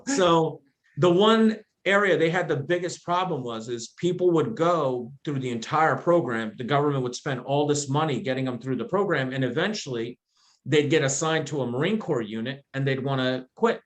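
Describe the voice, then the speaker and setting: A man guest on a podcast, telling his story in a casual, animated way, laughing often and restarting his sentences. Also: a little high, normal speed. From Afghanistan, raised in the United States.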